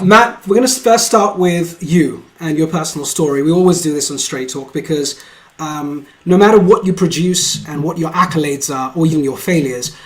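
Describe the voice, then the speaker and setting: A man hosting an interview show, introducing his guest with effusive praise and many fillers. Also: a little high. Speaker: podcast host.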